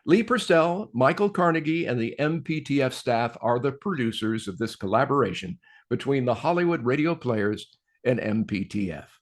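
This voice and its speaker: A man presenting a scripted introduction to a radio production in a steady, courteous way. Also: medium pitch, normal speed. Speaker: announcer and presenter.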